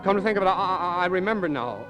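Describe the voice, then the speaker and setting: A nervous, evasive male suspect in a vintage radio crime drama. His voice is fairly high, and he stammers defensively. Faint music sits behind the old recording. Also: expressive, fast, fairly bright tone. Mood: flustered. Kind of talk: 1940s-50s radio crime drama acting.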